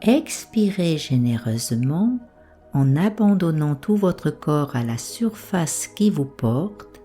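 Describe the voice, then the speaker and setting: Low, mellow, slow-speaking woman guiding meditation. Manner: calm, soothing, measured instructions with pauses. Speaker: meditation guide. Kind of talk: slow guided yoga nidra relaxation.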